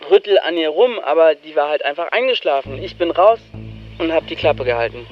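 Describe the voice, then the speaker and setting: An actor playing a wry young slacker who narrates in the first person, colloquial and confessional with hesitant asides. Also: male voice, fairly high pitch, expressive, fairly quick, lo-fi sound, faint music behind, close to an everyday voice. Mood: sheepish, confessional. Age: young adult.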